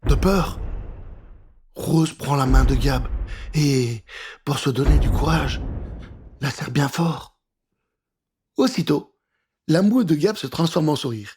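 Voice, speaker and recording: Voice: mid-range voice, takes it slowly, pauses often, background noise. A storyteller reading a scripted children's adventure in a theatrical, suspenseful way.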